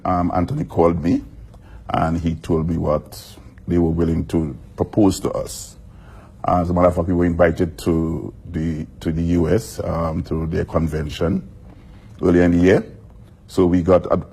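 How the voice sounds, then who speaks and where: Gravelly, raspy-voiced league president. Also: male voice, sits low, mellow. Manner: formal, measured, repeats words when restarting. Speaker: school football league president. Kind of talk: live TV interview.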